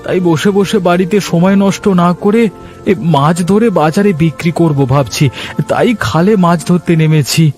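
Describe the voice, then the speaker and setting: A man's voice: on the high side, normal speed, a little mellow, faint music behind, close to an everyday voice. A man playing a hardworking male fish seller, polite but testy, in a Bengali cartoon.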